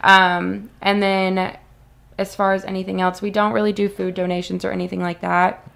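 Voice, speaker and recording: A woman's voice: medium pitch, flat delivery, normal speed, creaky, a bit bright. A woman from a family resource center answering questions on a radio show in a practical, matter-of-fact way. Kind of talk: casual radio interview answers.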